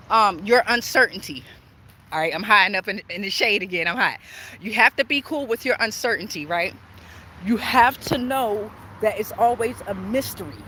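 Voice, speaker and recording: A woman's voice: fairly high pitch, fairly bright tone. A middle-aged woman chatting casually on a spiritual livestream as a tarot reader, emphatic and often checking in with tag questions. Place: outdoors on a walk near traffic. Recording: outdoor street noise, passing cars. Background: passing cars, urban outdoor ambience.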